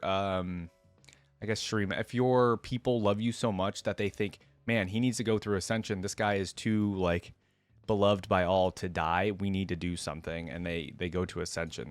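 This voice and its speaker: A man's voice: fairly low pitch, no room sound. A man hosting a reaction video, reading aloud and commenting in an informal, conversational way. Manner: informal, conversational asides with frequent "like".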